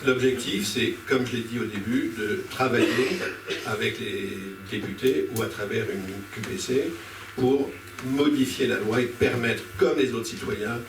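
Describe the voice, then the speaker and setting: A man speaks to a public meeting from some distance off the mic, in a room with plenty of echo. His delivery is impromptu and argumentative, with halting phrases that he often reworks as he goes. Clear background noise runs under his mid-pitched voice. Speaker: speaker on a citizens' panel at a public local-politics debate.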